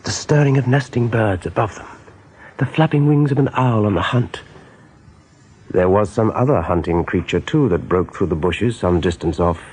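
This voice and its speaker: A man narrating a scripted radio drama as an all-knowing storyteller, with a husky voice and measured, deliberate delivery. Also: on the low side, darkish tone, rough recording. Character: omniscient storyteller of a rabbit adventure.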